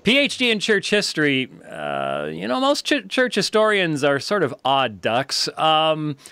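A man's voice: on the high side, wildly swooping pitch, a little slow, bright, forceful tone, smooth, dry recording. A man in his fifties with an American accent, hosting a casual conversational interview. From United States. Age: 50s. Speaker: interviewer, host. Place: small book-lined indoor studio. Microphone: close studio microphone.

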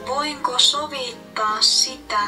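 A woman's language-app voice reading phrases slowly and clearly. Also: high voice, recorded from a distance, music in the background. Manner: slow, bright, over-enunciated. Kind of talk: slow phrasebook pronunciation.

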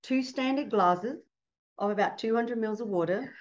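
A middle-aged woman with an Australian accent talks over a dull, lo-fi video call line from her home office. She speaks earnestly and warmly as a guest, often laughing as she explains things. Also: on the high side, moderate pace. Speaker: fertility doctor guest. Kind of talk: casual interview on a video call. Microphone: laptop webcam mic on a video call.

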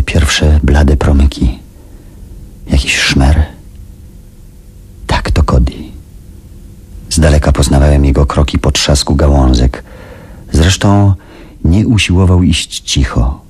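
A man's voice: rumbling low, steady tone, takes it slowly, long pauses, a little mellow, slightly rough, exaggerated voice. An actor playing a hunted, determined man in a radio play, narrating his ordeal and thinking aloud tensely. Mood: tense waiting. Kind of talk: dramatic first-person radio play narration. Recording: noisy old radio drama recording.

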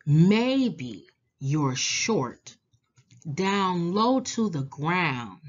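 A woman reads a picture book aloud for young children at a very slow pace. Her fairly low voice swings expressively, with drawn-out words and deliberate pauses. The recording sounds slightly dull. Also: breathy edge.

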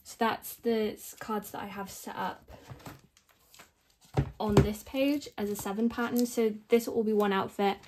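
A young woman whose voice is still croaky from screaming at a concert, talking in a loose, chatty, rambling way. She thinks out loud and makes little self-deprecating asides while she works at a table. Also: mid-range voice, fairly even intonation, a little slow, noisy background. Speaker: k-pop collector vlogger. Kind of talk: casual rambling narration over binder organizing. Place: indoors at a table, filming the binder from above.

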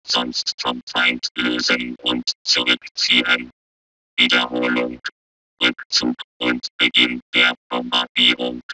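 A man's voice: extremely low pitch, poor audio. A man voicing a cold, menacing military combat robot in a video game, giving clipped, emotionless reports through robotic processing. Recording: loud electronic music behind the voice. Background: loud synthesizer music.

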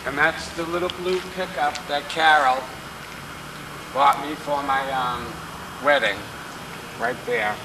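A man leads a mock tour along a night street, teasing and deadpan, his voice a touch high for a man. The old camcorder audio carries a clear hiss and street noise underneath. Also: takes it slowly, long pauses. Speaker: comic home-video host. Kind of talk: joking walking tour on camcorder. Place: outdoors on a town street at night. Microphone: camcorder mic. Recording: noisy 1990s camcorder audio.

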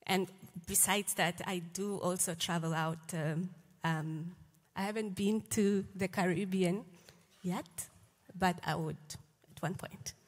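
A woman from Namibia, a student on a panel, introducing herself in a warm, slightly shy way. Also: on the low side, steady tone, slow pace. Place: large lecture hall stage. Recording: reverberant large hall.

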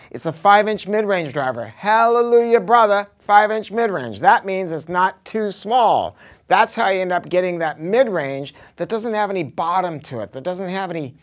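An American man in his sixties giving a casual, unscripted product pitch as a hi-fi dealer, with an animated, emphatic, slangy delivery. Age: 60s. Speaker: hi-fi dealer presenting a product review. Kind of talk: casual unscripted sales talk. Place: carpeted audio showroom with curtains and acoustic panels.

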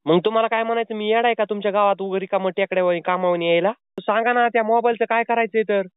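Fast, insistent man on a tinny phone line. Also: sits high, fairly even intonation, smooth, no room sound. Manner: insistent, pleading, playful. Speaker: prank caller posing as a delivery man. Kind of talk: prank phone call. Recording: tinny phone call recording.

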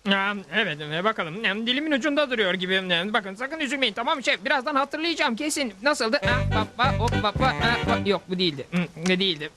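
A bumbling cartoon medicine man. He is high-pitched and races along, jittery and stammering, with music underneath. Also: expressive, fast, hardly any pauses. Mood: flustered, placating. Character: flustered, bumbling medicine man, nervous and forgetful. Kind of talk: Turkish cartoon dub. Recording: music behind the voice.

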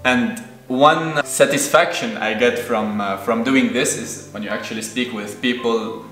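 A man's voice: mid-range voice, unhurried, a bit bright, echoey room, soft background music, rough recording. A man in his thirties from Lebanon, a native Arabic speaker, giving thoughtful interview answers with many hesitations. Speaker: guest. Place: indoor room on a sofa.

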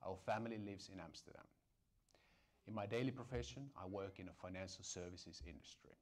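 A middle-aged man introduces himself as a church candidate. His delivery is formal and measured, as if from a prepared script. He is recorded indoors on a lapel mic. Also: lowish voice. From Finland or Estonia. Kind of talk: scripted self-introduction to camera. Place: indoor studio set with dark backdrop.

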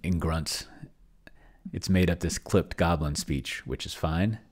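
A middle-aged man giving casual live commentary as he types and reads aloud, thinking out loud and trailing off, close on a desk microphone. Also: low-pitched, steady tone, slow. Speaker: solo role-player and streamer demonstrating AI game mastering. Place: small indoor room. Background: computer keyboard typing.

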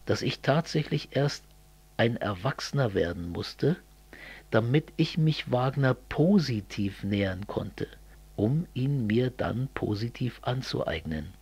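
Slow, husky-voiced East German man. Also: mid-range voice, darkish tone. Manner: didactic, deliberate. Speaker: speaker in a two-man political audio dialogue. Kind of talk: scripted political dialogue.

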